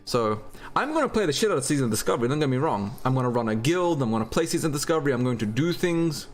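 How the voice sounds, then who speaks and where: A man's voice: medium pitch, lively intonation, very fast. A man with an Australian accent chatting informally as a livestream host, with some swearing. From Turkey and Australia. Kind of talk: casual livestream chat.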